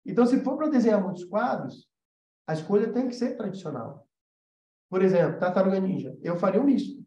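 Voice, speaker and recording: A Brazilian man teaches in an informal, explanatory way, with a high-pitched yet soft-edged, mellow voice. He stresses his points by repeating them. The recording has a noticeable room echo. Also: lively intonation, a little fast. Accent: Brazilian Portuguese. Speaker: animation teacher and studio animator. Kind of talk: informal live-stream lesson. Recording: roomy echo, slightly dull sound.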